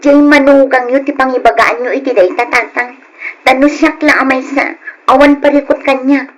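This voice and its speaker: An actress with an Ilocano accent playing a neglected, nagging wife who sighs and complains in an old-time radio drama. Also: female voice, high-pitched, steady tone, moderate pace, lightly acted, rough recording. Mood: complaining. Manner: complaining, sighing. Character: exasperated neglected wife, nagging and fretting over her absent husband.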